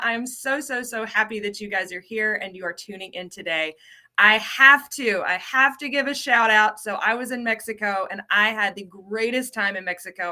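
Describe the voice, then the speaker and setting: Bubbly bright-voiced American woman host. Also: fairly high pitch. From United States. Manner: bubbly, repeats words for emphasis, greets viewers. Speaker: ESL program coordinator hosting a livestream. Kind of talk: casual livestream lesson.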